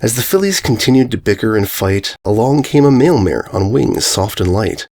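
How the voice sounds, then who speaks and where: A man narrating a rhyming children's story in a measured, singsong cadence. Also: on the low side, expressive, darkish tone. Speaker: story reader.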